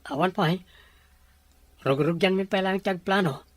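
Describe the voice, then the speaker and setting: A man's voice: high voice, normal speed, dry recording. A man playing a respectful young groom-to-be in an audio drama, with an Ilocano accent. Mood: friendly. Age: young adult.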